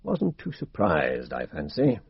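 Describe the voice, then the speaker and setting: An actor playing a blunt, down-to-earth British police inspector in a radio mystery, speaking colloquially and with stubborn confidence. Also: male voice, sits low, huge pitch swings, a little slow, creaky, breathy edge, dry recording, heavily acted. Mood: briskly confident. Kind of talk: radio drama acting. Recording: dull, dry radio drama recording.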